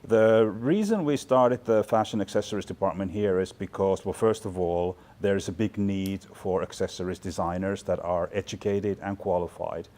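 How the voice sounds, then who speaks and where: A man's voice: low voice, moderate pace, hardly any pauses, warm tone. A man in his forties from Finland, talking through thoughtful, chatty interview answers with plenty of fillers and restarts. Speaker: guest, fashion department chair. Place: large bright studio loft indoors.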